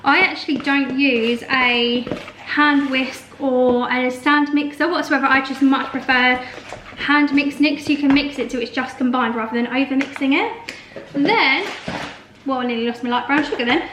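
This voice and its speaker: A woman chatting casually through a baking vlog with rambling explanations, her voice slightly hoarse. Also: high-pitched, moderate pace. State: slightly hoarse from a long call. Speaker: vlogger and home baker. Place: home kitchen. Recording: echoey room, faint noise, clicks.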